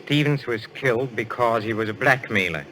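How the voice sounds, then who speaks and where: An actor playing a suave, assured detective in a radio mystery, speaking in a courtly, deliberate way. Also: male voice, mid-range voice, a crowd in the background, close to an everyday voice, rough recording. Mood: smooth, amused. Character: suave, assured French detective, male, posing as a medium. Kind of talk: old-time radio mystery acting.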